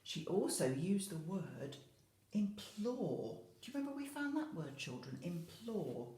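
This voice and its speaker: British female infant teacher, lowish voice, expressive word emphasis, child-directed lesson delivery, roomy echo. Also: measured pace. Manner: expressive, emphatic on key words, addressing children with tag questions. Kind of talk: educational talk to young children about a picture book. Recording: roomy, echoey home-style recording.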